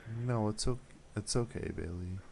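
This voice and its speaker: A man's voice: lowish voice, normal speed, creaky, crowd noise. A young man making a prank phone call, talking hesitantly and awkwardly with lots of fillers. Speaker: prank caller.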